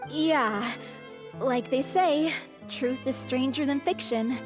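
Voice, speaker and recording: Earnest troupe member, bright high register, game score underneath. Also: female voice, takes it slowly. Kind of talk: game cutscene voice acting. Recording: game soundtrack music behind.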